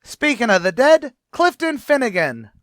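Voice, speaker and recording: A man's voice: very high voice, sing-song, normal speed, fairly bright tone, no room sound, exaggerated voice. A man playing a wisecracking tavern manager in an old-time radio comedy, talking in brash, ungrammatical tough-guy patter. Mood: sarcastic. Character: wisecracking middle-aged male tavern manager.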